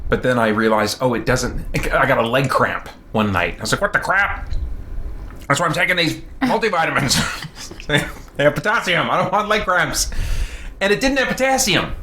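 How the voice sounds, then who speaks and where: A man's voice: a little high, lively intonation, normal speed, pushed and bright. Chatty man streaming over noisy background. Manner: rambling, self-amused, laughs often. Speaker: streamer, host. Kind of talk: casual livestream chat.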